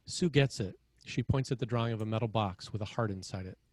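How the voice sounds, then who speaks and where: A man's voice: on the low side, fast talker, a little mellow, dry recording. A man narrating screenplay scene headings and action in a scripted read.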